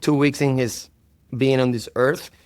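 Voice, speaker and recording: Clear-voiced Puerto Rican man, earnest. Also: medium pitch, fairly even intonation, measured pace, a little mellow, no room sound. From Puerto Rico. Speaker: interview guest, performing artist. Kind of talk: reflective conversational interview.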